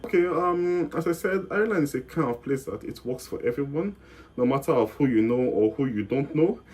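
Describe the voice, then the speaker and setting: A man's voice: medium pitch, expressive, brisk, darkish tone, slightly rough. A young man with a Nigerian accent answering interview questions in a casual, rambling way, often repeating words and correcting himself mid-sentence. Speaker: guest.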